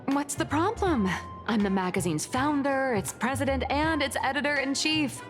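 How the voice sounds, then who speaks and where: A woman's voice: high-pitched, animated, moderate pace, music in the background. A woman playing a smug, theatrical lady magazine president in a video game, with a teasing, self-assured delivery.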